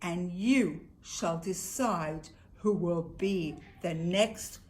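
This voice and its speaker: A woman voicing a bossy, scheming royal adviser, forceful and theatrical, in a rhyming children's puppet show. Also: fairly low pitch, very slow, very noisy, pitched below the performer's own voice. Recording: music behind the voice.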